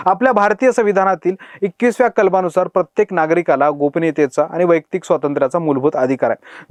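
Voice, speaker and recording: A man's voice: a little high, no room sound. A man presenting a scripted news explainer in an emphatic manner, posing rhetorical questions.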